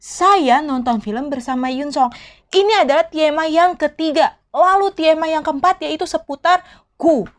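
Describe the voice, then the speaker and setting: A woman with a high, light voice teaches a language lesson in a casual, chatty way. She keeps asking her viewers questions and drops English words into her explanations. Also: moderate pace.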